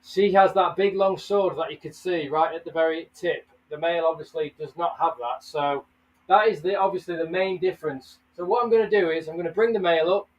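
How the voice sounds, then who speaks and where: A man's voice: a little high, fairly quick, far from the mic, low-quality recording. A man hosting a casual livestream, chatting and greeting viewers by name. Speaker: livestream host. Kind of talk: casual live chat.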